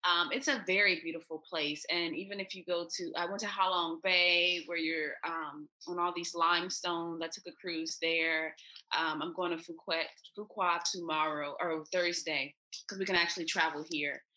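A woman's voice: lowish voice, fairly even intonation, moderate pace, bright, forceful tone, poor audio. An American woman chatting as a podcast guest, telling upbeat stories over a remote call. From United States. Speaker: podcast guest, expat traveler. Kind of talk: casual interview chat.

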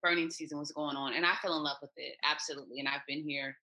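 An American woman with a crisp, bright tone that sits a touch low. She tells her stories in an upbeat, chatty way, as a guest on a remote call. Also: fairly even intonation, quick pace, rough recording. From United States. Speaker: podcast guest, expat traveler. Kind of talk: casual interview chat.

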